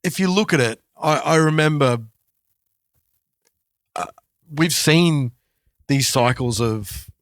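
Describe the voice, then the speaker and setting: A man in his forties chatting casually as a podcast host, in a halting way that repeats words as he thinks. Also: on the high side, dry recording, clean studio sound. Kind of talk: casual chat about music and culture.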